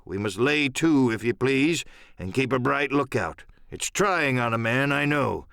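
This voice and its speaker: A man's voice: medium pitch, animated, measured pace, gravelly, fairly bright tone, clear, resonant tone, no echo, studio quality. A man playing a stern, plain-spoken middle-aged ship's captain in an audiobook drama, firm and clipped in manner. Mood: grave, resolute. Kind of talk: audiobook radio-play character acting.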